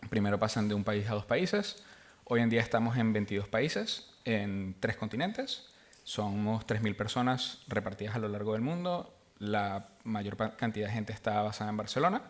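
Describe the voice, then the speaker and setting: Venezuelan guy on a business panel, lowish voice, lots of ums and restarts. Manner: halting, with many fillers and restarts. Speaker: panel guest, tech executive. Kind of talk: conversational panel answer.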